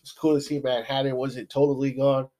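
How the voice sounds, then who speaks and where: A man with a stuffy nose co-hosting a podcast, recapping plot in a relaxed way, full of "kinda" and "you know". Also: medium pitch, brisk. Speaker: podcast co-host. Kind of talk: casual chat.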